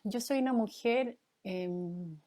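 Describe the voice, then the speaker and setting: A woman in her fifties from Chile, a guest answering interview questions in a thoughtful way, with frequent pauses and fillers. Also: medium pitch, mellow. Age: 50s. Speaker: guest, former mayoral candidate and coach.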